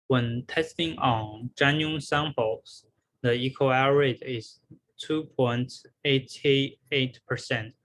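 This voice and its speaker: A man presents a research paper in a slow, measured delivery at a medium pitch. He sounds like he is following prepared material, moving haltingly with pauses and repeated words. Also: fairly bright tone, rough recording. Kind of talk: scripted academic presentation.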